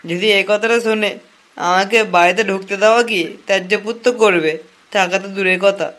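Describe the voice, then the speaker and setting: A man's voice: high voice, fairly even intonation, brisk, fairly bright tone, slight background noise. A man voicing a hapless, timid young male prisoner in a comic cartoon, pleading and whiny. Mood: sheepish, pleading.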